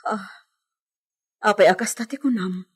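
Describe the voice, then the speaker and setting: A woman's voice: medium pitch, measured pace, slightly rough. A woman playing an anxious, deferential village servant in a radio drama. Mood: anxious, pleading. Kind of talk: Ilocano radio drama acting.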